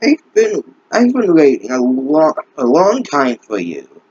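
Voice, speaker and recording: A man reading the lines of an eager young male half-demon in a flat, hesitant way. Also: a little high, slow, slightly rough, no echo, full character voice. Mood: earnest. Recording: dull lo-fi dry mic.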